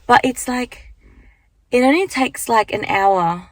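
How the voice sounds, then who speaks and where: Young woman, croaky morning voice, rambling casual vlog chat into a handheld phone, dry close sound. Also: a little high, slow, dry recording. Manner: chatty, rambling, lots of fillers. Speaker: vlogger. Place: car at the beach, then walking outdoors.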